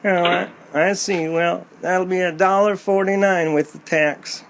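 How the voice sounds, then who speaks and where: An actor playing a folksy country gas station attendant in a radio play, talking in a colloquial, drawn-out way. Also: male voice, high-pitched, fairly even intonation, noisy background. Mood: folksy, matter-of-fact.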